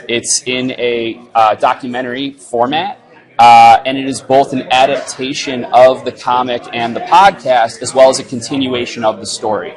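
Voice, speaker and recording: A man's voice: medium pitch, rough recording. A man who is a comics creator, explaining a project in a casual interview as a guest. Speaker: comics writer and film director, interview guest. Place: live at a comics convention.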